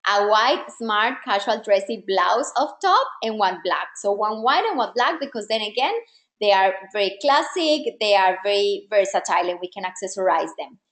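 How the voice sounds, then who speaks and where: A woman's voice: medium pitch, lively intonation, moderate pace, fairly bright tone, reverberant. A woman style coach whose first language is not English, giving an encouraging, direct masterclass to women. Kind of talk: live online masterclass.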